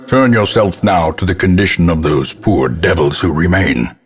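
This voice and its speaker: An actor voicing a grim, guilt-ridden old ancestor who narrates a gothic horror game in solemn, ornate, theatrical phrases with a husky voice. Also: male voice, low-pitched, animated, soft background music. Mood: grave, contemptuous. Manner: solemn, ornate, deliberate dramatic delivery.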